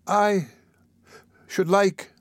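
A man's voice: on the high side, steady tone, normal speed, no echo, clean studio sound, voice pushed up, natural voice. A man voicing a meek, frail orphan boy in a storybook reading, speaking in a faint, faltering, earnest way. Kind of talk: audiobook character acting.

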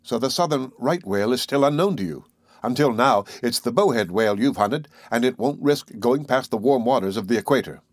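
A man playing a patient, gently amused professor of natural history in an audiobook, measured and didactic. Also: medium pitch, expressive, no echo, clean studio sound, natural voice. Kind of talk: audiobook character voice.

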